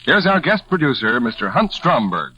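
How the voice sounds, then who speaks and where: A man's voice: medium pitch, very animated, normal speed, bright, forceful tone. A male announcer delivering a scripted advertisement in 1940s radio style. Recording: muffled vintage radio recording, faint noise.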